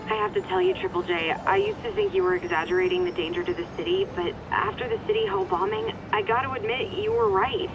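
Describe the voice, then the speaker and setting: A gushing radio caller in a video game. Her voice is extremely high and lively with some creak, heard on a noisy, rumbling line. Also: fast, few pauses, exaggerated voice. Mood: earnest, conceding.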